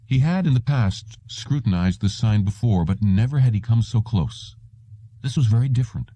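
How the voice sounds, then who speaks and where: A man's voice: on the low side, animated, normal speed, mellow, no echo. A man reading literary fiction aloud as a scripted audiobook narrator.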